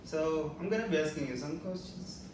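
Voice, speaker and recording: A man's voice: a little high, fairly quick. A young man from Ethiopia, a freshman, asking questions casually with many fillers. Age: young adult. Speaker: freshman student. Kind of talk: casual interview. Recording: echoey room, far from mic, noisy.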